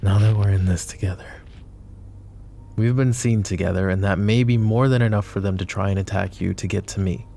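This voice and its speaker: Male VA as a possessive mafia boss, very deep and gravelly, soft intimate delivery, faint music underneath. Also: fairly even intonation, a little fast, a little hiss or hum. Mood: protective. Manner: soft, intimate, addresses listener directly. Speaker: ASMR roleplay voice actor. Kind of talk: boyfriend ASMR roleplay.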